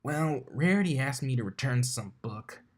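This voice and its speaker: A man's voice: mid-range voice, animated, moderate pace, pitched above the performer's own voice, close to an everyday voice. A man voicing a brash young female pegasus with an offhand, defensive manner in a cartoon fan story.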